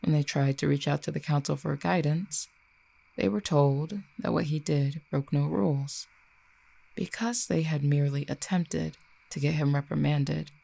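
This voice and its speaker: Casting: composed, dangerous exiled cupid for audio roleplay performed by an actor, eloquent and formal, dark creaky voice of medium pitch. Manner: measured, formal, eloquent phrasing. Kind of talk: audio roleplay acting, addressed to listener.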